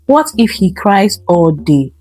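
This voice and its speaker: A woman's voice: medium pitch, very animated, takes it slowly, mellow, faint music behind. A woman storyteller voicing an anxious, uneasy young working mother who sounds worried and hesitant.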